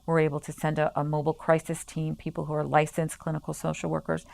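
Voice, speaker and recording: A woman on staff at a health center, explaining its services in a measured way with frequent pauses, over soft music. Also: sits low, monotone, creaky. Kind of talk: promotional interview.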